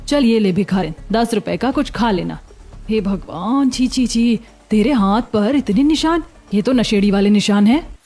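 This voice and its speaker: A woman's voice: mid-range voice, animated, a little slow, mellow, faint music behind. A woman voicing a haughty, scornful lady in a cartoon story, scolding with contempt. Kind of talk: Hindi cartoon story acting.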